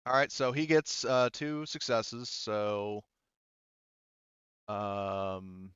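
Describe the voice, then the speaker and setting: A man running a tabletop game as game master, narrating and thinking aloud in a halting way. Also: fairly low pitch, fairly bright tone, smooth, no echo, muffled recording.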